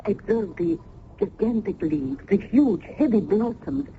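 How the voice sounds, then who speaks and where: A woman's voice: medium pitch, lively intonation, measured pace, lightly acted. An actress playing an effusive, motherly old Italian nurse turned landlady in a radio drama, with an Italian accent. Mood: confiding. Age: older. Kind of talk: 1970s radio drama acting. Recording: muffled, noisy old radio broadcast.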